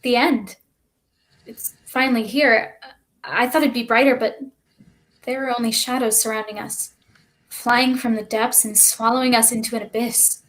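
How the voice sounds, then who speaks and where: A woman's voice: on the high side, fairly even intonation, normal speed, fairly bright tone, close to an everyday voice, poor audio. A woman acting a gloomy, fearful child in a staged play reading, grave and hesitant. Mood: bleak. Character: stunned, gloomy child named Finn, fearful and reluctant.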